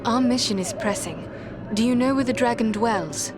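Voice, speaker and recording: An actress playing a composed, earnest heroine and healer in a fantasy video game, speaking formally and in measured phrases. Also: female voice, a little high, over music, natural voice. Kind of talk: video game cutscene acting.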